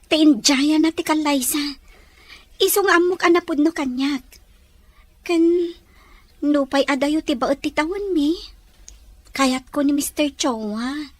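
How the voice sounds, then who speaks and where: Very high-pitched young Ilocano dreamer girl played by a woman. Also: lively intonation, a little slow, long pauses, no room sound. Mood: happy, hopeful. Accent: Ilocano Filipino. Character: ambitious poor young Filipina, sixteen, dreaming of marrying a foreigner. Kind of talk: Ilocano audio drama acting.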